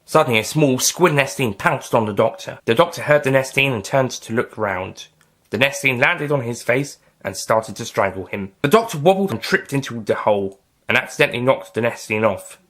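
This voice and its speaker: A man's voice: medium pitch, lively intonation, brisk, a bit bright. A man reading scripted audiobook narration as the narrator of a story.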